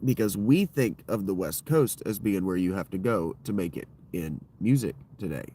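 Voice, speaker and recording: A man with a deep voice hosting his own livestream, talking in a loose, digressive and profane monologue that keeps circling back on itself. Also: animated, moderate pace, mellow, slight background noise. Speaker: livestream host. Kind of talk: rambling solo livestream monologue.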